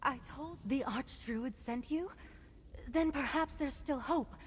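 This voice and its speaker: A weakened druid captive, solemn and pleading in a fantasy game. Her voice is fairly high and deliberate, ringing in a long reverb with faint noise behind it. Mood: weak, pleading. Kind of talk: video game voice acting.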